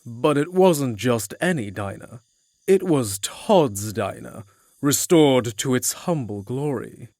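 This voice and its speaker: A man's voice: medium pitch, expressive, takes it slowly, a little mellow, no echo, clean studio sound. A man narrating a scripted fantasy story in the third person.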